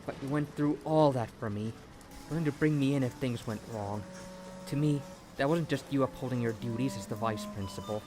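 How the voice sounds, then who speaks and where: A man's voice: mid-range voice, a little fast, natural voice. Man acting a grateful, earnest young man over music. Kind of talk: dramatic audio story reading.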